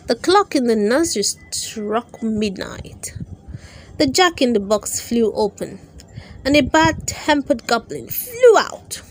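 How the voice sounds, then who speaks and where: A woman reads a children's bedtime story aloud in a fairly high voice. Her pitch moves around in a lively way, and she takes her time, adding dramatic emphasis as she narrates. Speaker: storybook reader and channel host.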